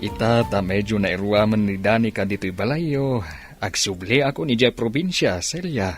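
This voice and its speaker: A man's voice: fairly low pitch, normal speed, faint music behind, lightly acted. A man playing a devoted, reassuring father of a blind daughter in an audio drama. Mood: tender, concerned.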